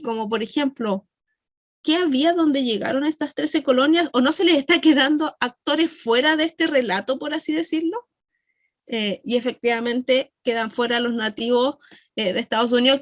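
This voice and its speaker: A woman's voice: high voice, no echo, clean studio sound. A woman lecturer with a Chilean accent giving a class, explaining at length, posing rhetorical questions and answering them, with frequent fillers and self-corrections. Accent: Chilean Spanish. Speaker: university lecturer.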